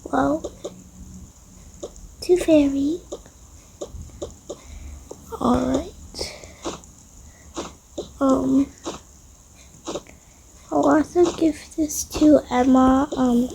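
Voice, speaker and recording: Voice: sits high, fairly even intonation, very slow, gravelly, pauses often, mellow, slight background noise, low-quality recording. A player improvising a roleplay story over a game, murmuring along as if talking to themself. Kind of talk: improvised roleplay narration over a toy-house game, voicing family members.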